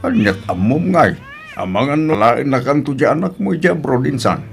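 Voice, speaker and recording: A man's voice: mid-range voice, lively intonation, moderate pace, vocal fry, soft background music. A man acting a friendly, supportive cousin and neighbour in an Ilocano audio drama, hearty and encouraging. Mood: curious.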